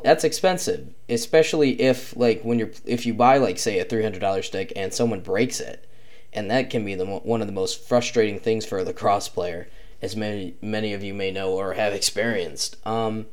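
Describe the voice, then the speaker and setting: A young man hosting his own podcast, talking casually and rambling with many pauses and restarts, with a slight cough. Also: lowish voice, darkish tone. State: slight cough, chest feels off. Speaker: podcast host. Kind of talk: casual solo podcast talk.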